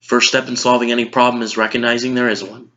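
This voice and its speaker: A man's voice: medium pitch, little pitch movement, quick pace, pushed and bright, natural voice. A man playing a blunt, exasperated middle-aged news anchor in an emphatic, lecturing dramatic monologue. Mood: solemn, wistful. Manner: emphatic, lecturing, list-driven delivery. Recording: dull lo-fi audio.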